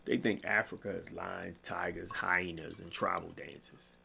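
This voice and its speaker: A man's voice: low voice, fairly even intonation, unhurried, gravelly, sharp tone, a little hiss or hum. A man co-hosting a casual late-night talk show, rambling and sarcastic. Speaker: co-host.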